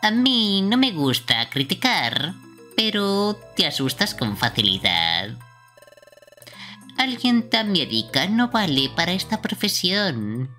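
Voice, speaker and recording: Woman voicing a low sneering bat henchman. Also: sing-song, slow pace, bright, forceful tone, soft background music, exaggerated voice. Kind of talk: amateur live game dubbing.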